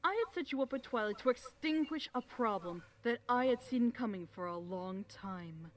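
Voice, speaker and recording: A woman playing a cold, manipulative pony queen in a dramatic fan fiction audio reading, speaking slowly and deliberately. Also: mid-range voice, expressive, close to an everyday voice. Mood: cold, confiding. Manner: slow, menacing, silky and deliberate. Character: sinister regal mare ruler, cold and manipulative. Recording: voice over background music.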